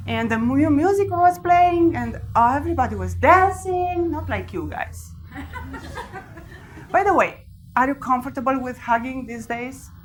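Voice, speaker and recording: A woman in her forties with a Romanian accent, giving a live talk to an audience with animated storytelling and frequent laughter. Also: high-pitched, measured pace, a little hiss or hum, rough recording. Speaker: speaker. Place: large event hall with an audience.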